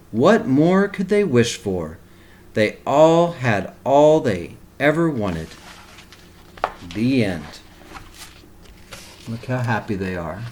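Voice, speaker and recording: A man reading a picture book aloud expressively and explaining it to young children as a teacher. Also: medium pitch. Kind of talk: animated children's storybook read-aloud with asides.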